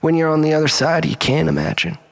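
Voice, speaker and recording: A man delivers a dramatic first-person story in a slightly high, gravelly voice. His telling is theatrical and melodramatic, moving between loud outbursts and breathy, hushed passages. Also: flat delivery, quick pace. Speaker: storyteller performing a comic monologue.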